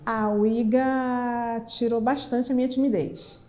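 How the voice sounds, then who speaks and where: A woman's voice: fairly high pitch, fairly even intonation, measured pace, darkish tone. A woman, a cooking student giving a personal testimonial.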